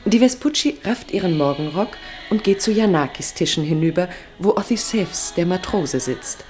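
Low-voiced woman narrating a radio play. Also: expressive, moderate pace, a little mellow, soft background music. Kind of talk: literary radio play narration, present tense.

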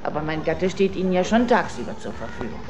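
A woman's voice: fairly low pitch, very animated, fast talker, highs cut off. An actress playing a tipsy, jealous, wealthy middle-aged wife and doting mother in a German radio drama. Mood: jealous, demanding. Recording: noisy radio drama scene.

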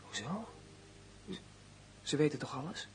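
An actor in a radio drama playing a prisoner's grown son, hesitant and embittered, halting and repeating his words with awkward politeness. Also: fairly high pitch, big pitch swings, slight background noise. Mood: troubled, searching.

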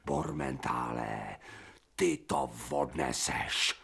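A man's voice: very deep voice, creaky, a bit bright, breathy edge, exaggerated voice. An actor playing a crude, insolent man made from a stray dog, brash and slangy, in a radio drama. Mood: insolent, threatening.

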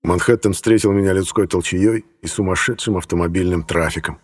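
Low-pitched male audiobook narrator, reverberant sound. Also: steady tone, fairly quick, husky. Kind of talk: scripted first-person fiction narration.

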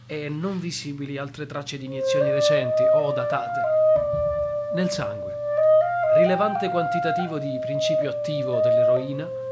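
Casting: male actor for dead young doctor, radio play, slightly high voice, solemn, indignant declamation, loud guitar underneath. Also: close to an everyday voice. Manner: declamatory, solemn, addressing the listener. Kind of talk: radio drama monologue. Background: guitar music.

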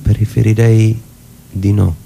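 A man acting a mild, calm male copyist in a literary radio reading, gentle yet firm, speaking slowly and sparely as he politely refuses. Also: lowish voice, vocal fry, rough recording. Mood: calm, unyielding.

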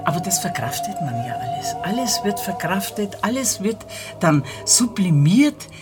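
A woman in a casual interview, halting and searching for words as she reflects. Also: mid-range voice, very animated, over music.

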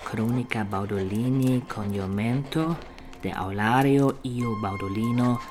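A man's voice: medium pitch, music in the background, natural voice. A man playing a roguish medieval Italian of peasant birth in a radio play, who halts and labours over archaic words as he writes his chronicle. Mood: laborious, halting. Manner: laborious, halting dictation while writing, archaic wording.

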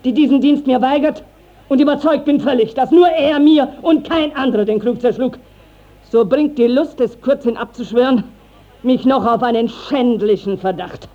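A woman's voice: high-pitched, moderate pace, natural voice. An actress playing a garrulous, righteous village mother, declaiming in a classical German radio drama. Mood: accusing. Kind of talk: classical German stage-style radio acting. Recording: dull 1950s radio drama recording.

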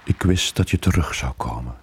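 Radio play: middle-aged seaman weighed down by guilt, deep raspy voice, sombre dramatic monologue. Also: lively intonation, moderate pace, exaggerated voice. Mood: resigned. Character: haunted, guilt-ridden veteran sailor, middle-aged.

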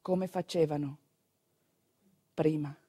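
A woman narrating a theatrical monologue in an expressive, deliberately phrased way. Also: sits low. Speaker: stage actress and narrator.

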